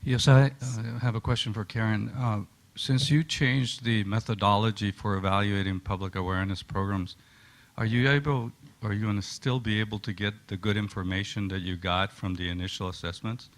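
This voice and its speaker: A man's voice: on the low side, steady tone, moderate pace. A man in the audience asking a panelist a question, a little hesitant. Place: conference hall.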